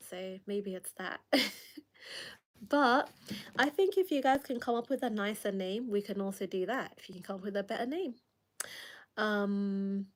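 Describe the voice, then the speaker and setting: A young woman speaks with a Kenyan accent, chatting casually and reading comments aloud. Her voice sits in a middle pitch for a woman. A lingering cold leaves her coughing now and then. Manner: chatty, laughs often, reads viewer comments aloud. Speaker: livestream host. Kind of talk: casual late-night livestream chat.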